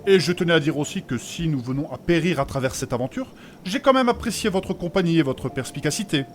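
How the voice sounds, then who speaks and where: Guy doing a stuffy religious wizard for a fantasy audio comedy, polite n wordy, highish voice, bit of background noise. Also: animated, fast, natural voice. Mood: sincere. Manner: pompous, courteous, wordy old-fashioned phrasing. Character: formal, principled religious male mage, earnest and prim.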